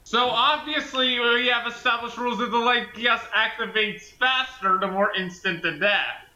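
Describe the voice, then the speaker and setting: A man with a high, piercingly bright voice, recorded with noticeable room echo. He comments casually, arguing his points in earnest. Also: normal speed, dull audio. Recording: echoey room sound.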